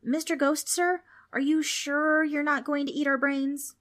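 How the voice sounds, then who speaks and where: Woman as a timid, high-pitched whining boy. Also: level tone, measured pace, a bit bright. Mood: fearful. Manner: pleading, whiny. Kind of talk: children's audiobook character voice.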